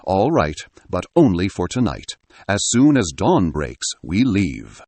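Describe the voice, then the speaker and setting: A man plays an urgent, dutiful geologist in an audio story. His delivery is agitated and swings dramatically in pitch, with a slightly rough edge to the voice, recorded dry and close. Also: wildly swooping pitch, slow, dark tone, no echo, full character voice. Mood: reluctant. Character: male geologist, urgent, dutiful, alarmed and shouting.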